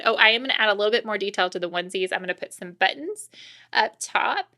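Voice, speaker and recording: Bright-voiced woman teaching a craft tutorial. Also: medium pitch, creaky. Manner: informal, talking through each step with asides. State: voice getting slightly scratchy. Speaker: cookie decorating tutorial host. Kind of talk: conversational step-by-step craft tutorial.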